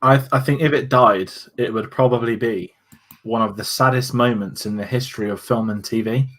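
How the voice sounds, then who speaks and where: A man's voice: mid-range voice, fairly quick, a bit bright. A man co-hosting a casual review chat, musing loosely with restarts and fillers. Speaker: podcast co-host.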